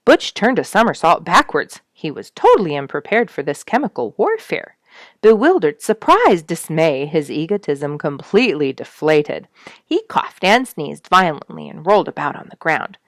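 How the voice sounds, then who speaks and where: Low-voiced woman reading a story, dry recording. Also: very animated, moderate pace, dry recording. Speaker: storyteller reading an audiobook chapter. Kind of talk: expressive storytelling narration of a nature book.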